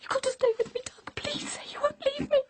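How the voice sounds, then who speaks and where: An actress in a radio drama playing an anxious, sharp wife and mother who grows terrified. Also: very high voice, steady tone, brisk, a little hiss or hum.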